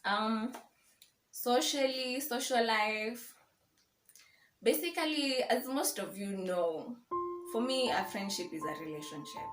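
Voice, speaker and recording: A woman's voice: fairly high pitch, slow pace. A young adult woman with a Kenyan accent chatting casually and informally in a personal vlog. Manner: informal, code-switches into Swahili. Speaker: YouTube vlogger. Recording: roomy echo, music behind.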